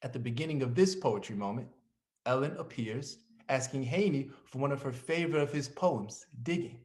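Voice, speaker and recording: A man's voice: medium pitch, normal speed, darkish tone. A man presenting a scripted introduction and narration in the manner of a programme host.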